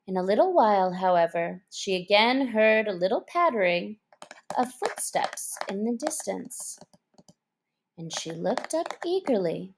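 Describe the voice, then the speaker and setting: A woman reading a children's story aloud as an expressive narrator. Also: medium pitch, measured pace, background noise.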